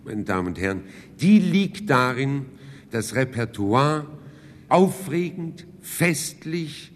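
A man delivers a formal speech slowly and theatrically, his pitch swooping widely. The sound is an archival recording with a long hall reverb. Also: sing-song. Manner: theatrical, emphatic. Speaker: speaker at a public address.

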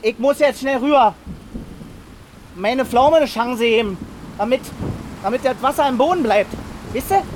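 An actor playing an excitable, bossy village man in a radio drama, with a slangy way of talking. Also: very high-pitched, moderate pace, pauses often, slight background noise. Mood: urgent. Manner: slangy, colloquial, exclamatory, swearing. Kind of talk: naturalistic radio drama dialogue.

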